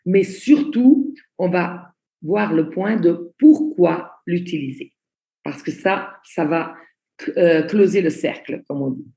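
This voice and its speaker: Slow, gravelly-voiced woman trainer. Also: fairly low pitch, dark tone, reverberant. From Belgium. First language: Italian. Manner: slow, deliberate, repeats key points. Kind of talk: online training presentation, spoken slowly for interpreters.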